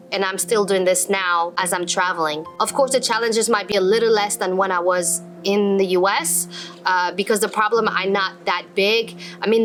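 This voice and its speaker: A woman's voice: medium pitch, a bit bright. A woman in her thirties who grew up in Belgium, telling her own story unscripted and speaking directly to viewers with advice. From Belgium (born in Morocco). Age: 30s. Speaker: travel vlogger and business coach. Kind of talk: unscripted personal story to camera.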